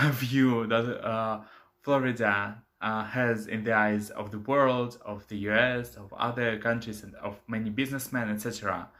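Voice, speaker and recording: A man's voice: lowish voice, sharp tone. A young man for whom English is a second language, hosting his own channel with hesitant commentary full of filler sounds. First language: not English. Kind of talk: casual commentary to camera.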